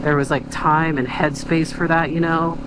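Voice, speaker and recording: A man's voice: a little high, level tone, normal speed. A young man vlogging from his car, talking in a hesitant, rambling, reflective way full of fillers and restarts. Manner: quiet, reflective. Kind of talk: casual venting while driving. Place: in a car on the road. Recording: road and engine noise behind.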